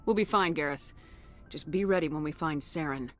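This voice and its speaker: An actress playing a steady, decisive military commander in a video game, direct and confident. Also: female voice, sits low, moderate pace, faint music behind, close to an everyday voice. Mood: resolute. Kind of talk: video game cutscene acting.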